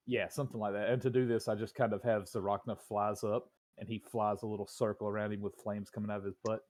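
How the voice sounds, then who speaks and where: Lowish guy voice, tabletop player explaining a spell, bit halting. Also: level tone, a little mellow, no room sound. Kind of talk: casual game chat, explaining rules.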